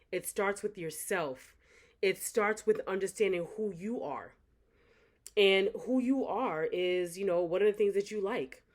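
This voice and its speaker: Young woman author, earnest and reflective, motivational address to camera, repeats phrases for emphasis, mid-range voice. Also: medium pitch. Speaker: author thanking supporters. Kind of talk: motivational direct-to-camera talk.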